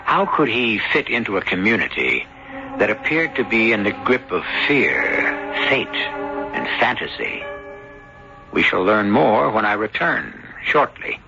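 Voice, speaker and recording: Deep-ish guy hosting a spooky radio show, deliberate, music behind him, dull old recording. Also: sing-song, gravelly. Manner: ominous, deliberate, rhetorical. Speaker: host. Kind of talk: theatrical scripted radio mystery narration.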